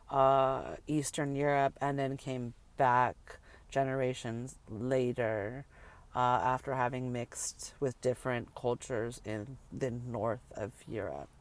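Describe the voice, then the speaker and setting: Rambling emphatic woman, smooth voice. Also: rumbling low. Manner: rambling, emphatic, repeats words for effect. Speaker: vlogger. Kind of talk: casual rant to camera.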